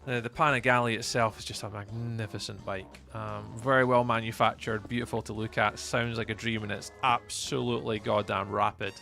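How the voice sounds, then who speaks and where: Scottish male streamer, gravelly and bright, chatty, rambling, lots of ums. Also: on the low side, level tone, a little fast, hardly any pauses, soft background music. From Scotland. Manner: rambling and chatty, many fillers and restarts. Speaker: live streamer. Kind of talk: casual chat with live chat while gaming.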